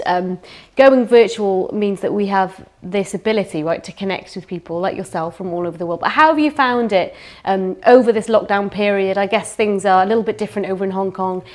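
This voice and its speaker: A woman hosting a live online festival broadcast with an upbeat, bubbly presenter manner. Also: medium pitch. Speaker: festival host.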